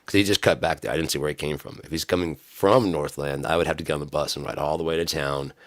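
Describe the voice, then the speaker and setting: A man's voice: rumbling low, steady tone, fast, dry recording, studio quality. A man tells a rambling, profane story, laughing often. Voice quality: raggedy voice from smoking and screaming. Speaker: vlogger telling a story. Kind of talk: casual storytelling monologue.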